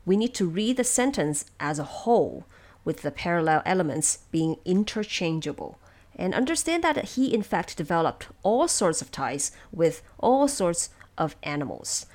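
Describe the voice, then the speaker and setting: A woman presenting explanatory production notes from a script in a measured, instructive way. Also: on the low side, very animated, darkish tone. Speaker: audio drama producer and presenter.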